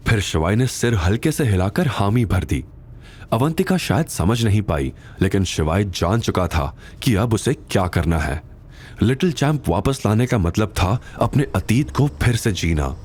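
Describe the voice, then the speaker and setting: A man narrating an audio drama in a scripted, dramatic style with expressive, suspenseful delivery. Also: on the low side, a little slow, husky.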